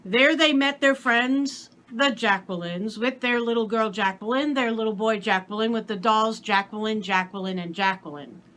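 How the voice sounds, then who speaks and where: A woman with a bright, fairly high voice reads aloud as a storyteller. Her narration is scripted and expressive, in the manner of a storybook. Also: normal speed, hardly any pauses. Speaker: story reader.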